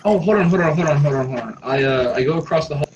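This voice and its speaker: A man's voice: a little high, rapid-fire, highs cut off, background noise. A man bantering casually and swearing freely as a player in a tabletop roleplaying game.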